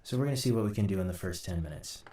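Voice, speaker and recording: A deep-voiced man walks through software in an informal tutorial. He thinks aloud in a halting way as he works. The sound is roomy and a little distant from the mic, with a soft, dark tone. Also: lively intonation. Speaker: host, audio drama sound designer. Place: indoor room at a computer. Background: keyboard typing and mouse clicks, faint noise.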